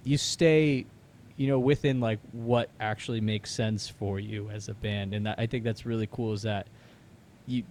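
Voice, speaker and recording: A man's voice: fairly low pitch, fairly even intonation, normal speed, darkish tone. A man in his thirties interviewing casually, with a rambling, slangy way of feeling out his sentences. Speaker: interviewer, musician.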